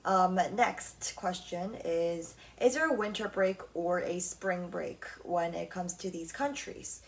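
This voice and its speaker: A woman's voice: fairly low pitch, fairly even intonation, slow pace, distant mic, rough recording. A young woman hosting her own podcast in casual solo chat, talking loosely with lots of "like" and "um". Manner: chatty, frequent "like" and "um" fillers. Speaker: podcast host.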